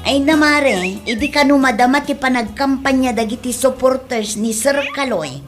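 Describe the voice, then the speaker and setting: A woman's voice: high-pitched, normal speed, over music, natural voice. A woman acting a gossipy village neighbour in an Ilocano comedy radio drama, chattily passing on news. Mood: gossipy, concerned.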